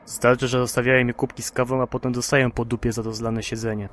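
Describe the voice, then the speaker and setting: A man's voice: mid-range voice, level tone, fast talker, slight background noise, natural voice. A man playing a blunt, grumbling taxi driver in his thirties for an audio drama. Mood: irritated, curt.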